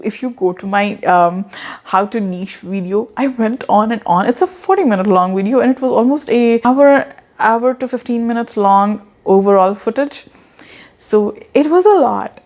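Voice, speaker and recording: A woman's voice: medium pitch, darkish tone. A woman in her thirties with an accent mixing Indian and American English, giving earnest, encouraging advice to the camera and often repeating words as she talks. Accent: Indian-American blend. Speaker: online business coach. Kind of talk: casual talking-head advice.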